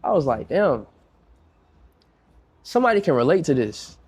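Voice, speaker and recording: A young man with a congested, stuffed-up voice, talking as a guest producer in a loose podcast chat. He sits a little high in pitch and leans into slang, circling back and repeating phrases as his stories pick up speed. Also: normal speed, husky. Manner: slangy, repeats himself, lively storytelling. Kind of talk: casual podcast interview.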